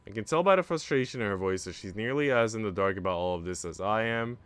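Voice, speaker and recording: A man's voice: lowish voice, expressive, quick pace, fairly bright tone, clean tone, no echo. A man reading a visual novel aloud as a streamer, narrating the story in the first person.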